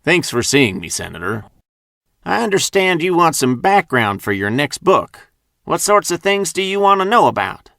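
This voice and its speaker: A man voicing a calm male investigator who asks measured, probing questions. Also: on the high side, creaky, no echo, studio quality, pitched above the performer's own voice, full character voice.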